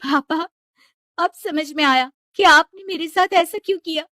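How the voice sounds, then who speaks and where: Woman as young rich daughter in a story: stubborn, emotional, insistent, high voice, dry sound. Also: measured pace, bright, forceful tone, no echo, exaggerated voice. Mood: hurt, accusing. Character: headstrong young rich daughter, sincere and lovelorn.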